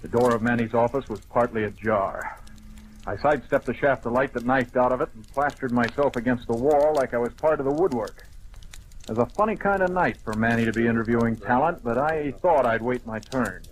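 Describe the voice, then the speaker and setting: Sardonic gumshoe played by a man, medium-pitched, vintage radio noir style, lightly noisy recording. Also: brisk, lightly acted. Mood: dry, wry. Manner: dry, sardonic tough-guy delivery. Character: wisecracking, hard-boiled male private detective narrating his case. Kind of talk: old-time radio noir narration and acting.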